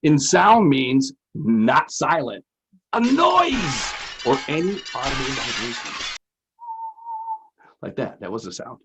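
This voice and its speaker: A man's voice: medium pitch, wildly swooping pitch, takes it slowly, noisy background. A man presenting a lesson as a teacher-host, chatty, folksy and playful, often repeating himself. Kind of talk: casual, chatty educational presenting.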